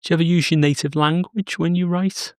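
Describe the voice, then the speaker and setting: A man's voice: on the high side, steady tone, a little fast, darkish tone, clear, resonant tone, dry recording, studio quality. A man co-hosting a podcast, asking questions and telling a light anecdote with a laugh. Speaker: podcast co-host. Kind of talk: casual interview chat.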